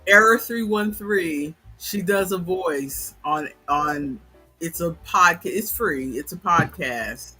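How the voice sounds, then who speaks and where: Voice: mid-range voice, slow pace, sharp tone, husky, faint music behind. A middle-aged co-host with a slight Southern accent, steering a casual live chat with short prompts. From New York, then the US South. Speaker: livestream co-host.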